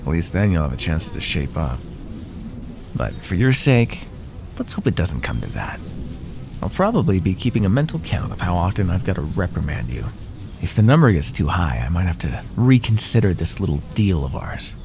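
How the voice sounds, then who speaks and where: Deep, echoing male naga, acted by a man. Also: very animated, moderate pace, background noise. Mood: teasing menace. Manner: casual, condescending, dry teasing. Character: male naga predator, bored, teasing, menacing cave-dweller. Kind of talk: ASMR fantasy audio roleplay. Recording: echoey, long cave-like reverb.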